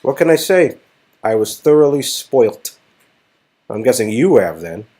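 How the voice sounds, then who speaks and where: A man's voice: mid-range voice, sing-song, measured pace, dark tone, husky, voice pushed up. A man voicing an easygoing, slightly sheepish young man in a story game. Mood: playful.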